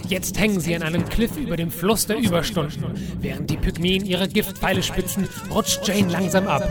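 An actor playing a bombastic, suspenseful announcer, narrating a theatrical adventure serial. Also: male voice, sits high, a little fast, natural voice. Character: bombastic male serial announcer recapping cliffhangers. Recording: loud music under the voice.

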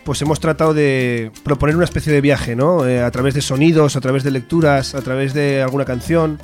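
A man's voice: medium pitch, steady tone, a little fast, a little mellow, faint music behind. A man with a European Spanish accent, a radio guest chatting in a hesitant, informal way. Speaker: radio guest, activist collective member. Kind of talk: casual chat. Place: radio studio.

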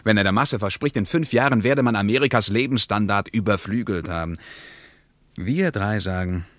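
An actor playing an argumentative, ironic intellectual in a German radio play, speaking emphatically with rhetorical pauses. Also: male voice, on the low side, a bit bright, no room sound, natural voice. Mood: emphatic, ironic. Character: male traveller, argumentative, ironic intellectual debating politics.

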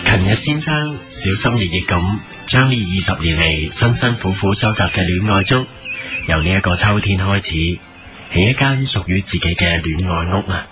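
A man acts as a solemn narrator for a mock travel documentary on radio. His voice is low and his reading is measured and earnest, over prominent background music. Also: lively intonation.

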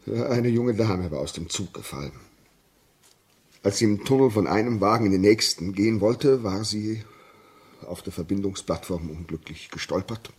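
An actor playing a haunted, anxious railway signalman in a 1950s radio drama, halting and agitated, swinging between hushed dread and crying out. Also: fairly low pitch, breathy edge. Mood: grim, haunted. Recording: muffled-edged vintage 1950s radio recording.

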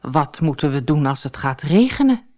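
A woman's voice: low-pitched, sing-song, moderate pace, a little mellow. A woman voicing a gloomy, worried old husband in a storybook fairy tale, anxious and resigned. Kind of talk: storybook character voice.

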